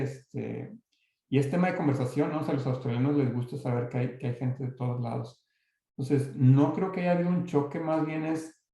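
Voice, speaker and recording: A man's voice: mid-range voice, gravelly, mellow, heavy reverb. A man with a Mexican accent, giving an online talk and answering audience questions, hesitant with many restarts and fillers. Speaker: guest speaker.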